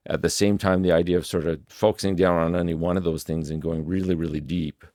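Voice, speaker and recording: A man's voice: fairly even intonation, quick pace, darkish tone, no echo. A middle-aged man, thinking aloud in a reflective vlog recorded in his home music studio. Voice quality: deep voice. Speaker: musician and video creator. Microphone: desk studio microphone.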